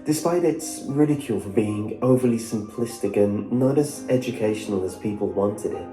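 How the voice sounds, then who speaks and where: A man narrating a scripted first-person story in a measured, wistful manner. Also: fairly low pitch. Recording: roomy echo, music behind. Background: background music.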